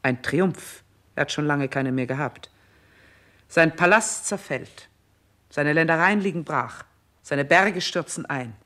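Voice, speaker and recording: A woman's voice: low voice, unhurried, fairly bright tone. Imperious, biting queen. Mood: commanding. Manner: imperious, biting, declamatory, emphatic enumerations. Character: stern, scornful older queen, commanding and merciless. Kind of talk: 1960s German radio play acting.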